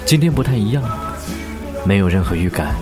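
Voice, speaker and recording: Low wistful guy as a jaded singer telling his story in an audio drama, loud music behind. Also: big pitch swings, brisk. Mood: wistful, reflective. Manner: subdued, wistful, literary storytelling. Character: world-weary male pop singer, former bar band frontman. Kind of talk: Chinese audio drama acting and reflective narration. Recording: studio voice over prominent background music.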